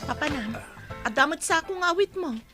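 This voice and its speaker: A woman's voice: extremely high pitch, lively intonation, fairly quick, pushed and bright, faint music behind, exaggerated voice. A woman playing a patient, reasoning wife in a radio drama, speaking with an Ilocano accent. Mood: obliging.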